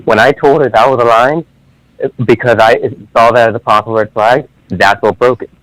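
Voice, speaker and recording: A man calling into an advice show from work, speaking in a soft, measured way. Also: mid-range voice, steady tone, no echo, poor audio. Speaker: caller.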